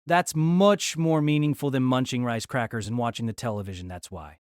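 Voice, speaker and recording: A man's voice: medium pitch, normal speed, a little mellow, smooth, no room sound, clean studio sound, natural voice. A man playing a petulant young student mage in an audio drama, whiny and scolding. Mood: scornful. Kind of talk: fan audio drama acting.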